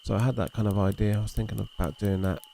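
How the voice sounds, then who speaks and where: A man hosting a game livestream, chatting in a relaxed, rambling way with a gravelly voice. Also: on the low side, fast, background noise. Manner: relaxed, rambling, talks to chat and reads messages aloud. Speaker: livestream host. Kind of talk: casual chat while streaming a fishing game.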